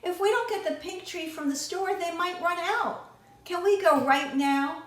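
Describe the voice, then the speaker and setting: An eager, persistent little storybook girl, acted out by a woman. The voice is very high, and she pleads and gushes with great insistence in a roomy space. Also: unhurried. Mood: pleading. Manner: exclaiming, insistent, gushing.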